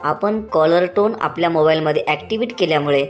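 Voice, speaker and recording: A woman's voice: deep voice, a little fast, close to an everyday voice. A woman voicing a patient male customer care agent in a comic cartoon, formal and courteous as she explains things. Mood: patient explaining. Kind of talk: comic cartoon phone-call sketch.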